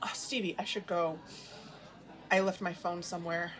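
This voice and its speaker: A woman's voice: fairly low pitch, little pitch movement, normal speed, fairly bright tone, lightly acted. An actress playing an uneasy, watchful woman investigator who tells her story in a scripted audio drama. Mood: hesitant, probing. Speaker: narrator and lead character of an audio drama. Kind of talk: scripted first-person narration and dialogue.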